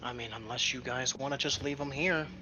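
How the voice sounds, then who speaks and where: Bright-voiced man at a game table. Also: medium pitch, fairly even intonation, brisk, faint music behind. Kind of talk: casual chat while gaming.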